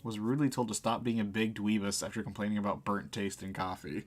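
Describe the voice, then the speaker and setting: Low-pitched man streaming a game casually. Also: fairly even intonation, fast talker. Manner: informal, chatty, reacting aloud with slangy exclamations. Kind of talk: casual live let's play commentary, reading game text aloud.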